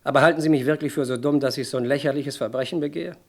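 A man's voice: mid-range voice, steady tone, very fast, lightly acted. An actor playing an arrogant, slippery businessman swindler in a German radio crime drama, dismissive, self-assured and evasive. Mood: scornful, defiant.

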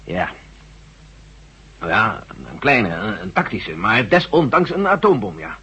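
An actor playing a brisk, authoritative general chairing a tense briefing in a radio drama. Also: male voice, on the low side, big pitch swings, bright, forceful tone, husky, heavily acted. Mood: reluctant. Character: General Stevens, brisk military commander. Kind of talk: 1970s radio drama acting. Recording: dull lo-fi radio recording.

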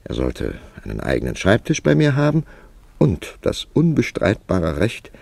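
An actor playing a worldly, wry male writer who narrates his tale in a radio play with measured, dramatic storytelling. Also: deep voice, wildly swooping pitch, gravelly, a little mellow, no room sound, full character voice. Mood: reflective narration. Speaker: narrator and lead character.

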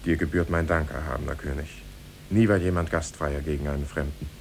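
An actor playing a humble, lovesick young merchant's son, earnest and deferential, in a 1950s German radio play. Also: male voice, steady tone, fairly quick, vocal fry, a little mellow. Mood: humble, restrained. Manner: deferential, solemn, fervent. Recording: 1950s radio recording with faint rumble.

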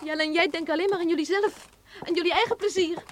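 A proud young woman in a radio play. Her voice is high, and she speaks with a firm, reserved, reproachful manner. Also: fairly even intonation, quick pace. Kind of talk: radio drama acting.

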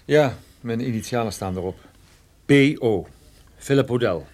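Actor as plain-spoken private detective, mid-pitched. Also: male voice, moderate pace, a little mellow, lightly acted. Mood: calm. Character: male private detective suspected of smuggling, defensive. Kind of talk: radio drama dialogue.

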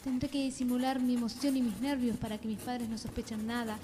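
A woman's voice: on the high side, level tone, quick pace, background noise, natural voice. A woman playing a tender, nervous teenage girl in love, reading her diary in a radio play. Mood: dreamy, secretive.

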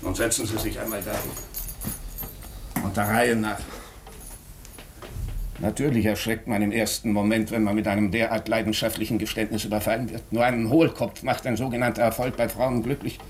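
An actor playing an earnest, probing doctor in a German radio drama, speaking with deliberate, morally insistent urgency. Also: male voice, lowish voice, level tone, slight background noise, close to an everyday voice. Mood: probing, tactful.

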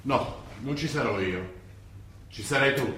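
An actor playing a wily, unctuous older cardinal in an Italian radio drama, measured and preachy. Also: male voice, medium pitch, lively intonation, pushed and bright. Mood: coolly persuasive. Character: wily, unctuous high-ranking cardinal, male, older, coolly manipulative. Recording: echoey stage recording, distant mic, hiss.

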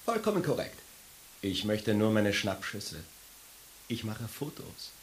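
A woman's voice: extremely low pitch, sing-song, unhurried, exaggerated voice, rough recording. A woman playing an obsessive photographer in a radio play, theatrically rapping out clipped commands. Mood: self-satisfied. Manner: exaggerated, clipped one-word commands. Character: theatrical, obsessive snapshot photographer ordering poses. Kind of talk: German radio play acting. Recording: clear noise with camera shutter clicks.

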